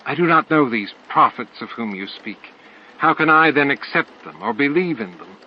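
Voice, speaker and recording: A man's voice: medium pitch, animated, moderate pace, pitched above the performer's own voice, close to an everyday voice. A man voicing a confused, anguished insectoid alien hive master in an audiobook, speaking in a formal, earnest way. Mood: bewildered, despairing. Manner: formal, earnest, bewildered speech. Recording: dull lo-fi audio, clear noise.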